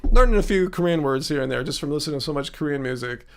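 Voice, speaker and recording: High-voiced chatty man livestreaming. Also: animated, quick pace. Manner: chatty, rambling, many fillers and restarts. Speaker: livestream host answering chat. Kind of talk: casual livestream chat reading comments.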